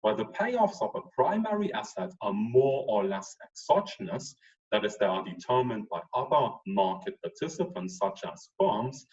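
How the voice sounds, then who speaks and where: Male professor, 40s, German accent, lowish animated voice, chatty online lecture, muffled lo-fi call audio. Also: normal speed, far from the mic. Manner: relaxed, chatty, with frequent ums.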